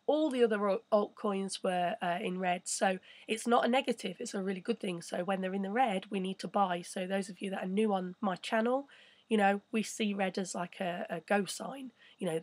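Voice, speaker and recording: A woman hosting a casual live stream, chatting and rambling with a croaky voice. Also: medium pitch, dry recording. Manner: chatty, rambling, reads viewer messages. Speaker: crypto channel host.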